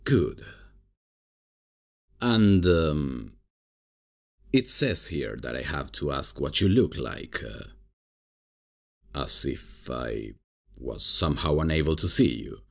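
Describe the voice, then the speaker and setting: A man playing a cocky, casual, unprofessional substitute professor in an ASMR comedy roleplay, with a husky, gravelly voice and a hesitant, improvising way of talking. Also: very deep voice, sing-song, takes it slowly, pauses often, heavily acted. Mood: puzzled, bumbling. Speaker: roleplay performer.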